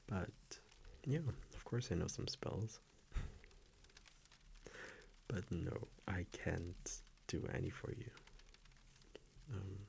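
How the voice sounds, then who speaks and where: A gentle vampire boyfriend played by a man, very low and gravelly, murmuring intimate pillow talk in an ASMR roleplay. He is soft-spoken and affectionate, often slipping toward a whisper, with soft fireplace ambience faintly behind him. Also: a little hiss or hum, full character voice. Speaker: audio roleplay voice actor.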